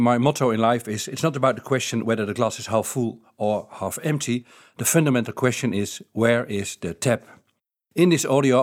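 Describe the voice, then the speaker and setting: Dutch man explaining ideas in measured English. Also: medium pitch. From Netherlands. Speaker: author. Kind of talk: reading his own book's ideas aloud.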